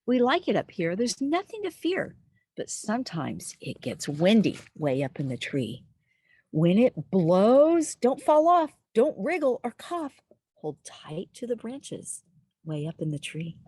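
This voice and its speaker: A woman reading a picture book aloud to young children outdoors, expressively, pausing to put questions to the listener. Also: medium pitch, wildly swooping pitch, a little mellow, husky, no echo. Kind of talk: animated read-aloud storytelling for young children. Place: outdoors in a backyard with trees.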